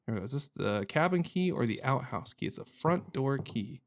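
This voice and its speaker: A man hosts a video game playthrough in a medium-pitched voice. He talks casually and reacts as he goes, rambling and joking with goofy, mild exclamations. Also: lively intonation, normal speed, vocal fry, slight background noise. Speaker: gamer hosting a playthrough video. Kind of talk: casual, reactive gameplay commentary.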